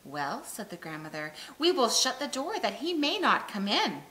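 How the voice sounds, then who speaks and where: Bright, very animated woman storyteller. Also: a little high, moderate pace.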